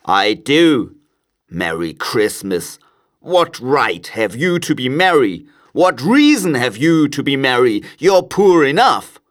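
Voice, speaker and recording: A man's voice: mid-range voice, very animated, slow, voice pushed up. A man voicing a grumpy, scornful old miser in a dramatised storybook reading, blustering and emphatic.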